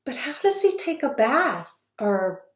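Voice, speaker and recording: A woman author reading her children's book aloud in slow, expressive storytelling. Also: high voice. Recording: roomy echo.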